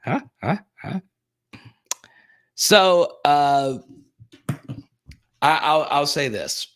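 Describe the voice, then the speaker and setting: A man's voice: on the high side, expressive, drawn-out words, fairly bright tone, smooth. A young man hosting a sports live stream, rambling casually while reading and answering viewers' chat comments in his plain, everyday voice.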